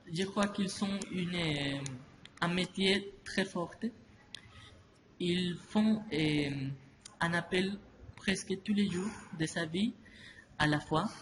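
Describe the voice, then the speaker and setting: Man, slow and halting, slightly high voice, noisy background. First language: Spanish. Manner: halting, many restarts and fillers. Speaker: interviewee. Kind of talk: slow, hesitant interview answers. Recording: noisy ambience behind voice.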